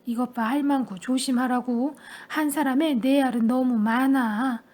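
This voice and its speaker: A stern old grandfather voiced by a woman who scolds in a firm, even tone. The voice stays high and mellow, carrying the weight of an elder in a read-aloud storybook. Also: unhurried. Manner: stern, scolding, then agitated.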